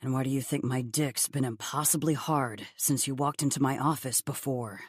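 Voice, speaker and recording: Woman voicing a deep, seductive male boss. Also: moderate pace, breathy edge, no room sound, studio quality. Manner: calm, unruffled, suggestive. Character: confident, seductive billionaire boss, deep-voiced man.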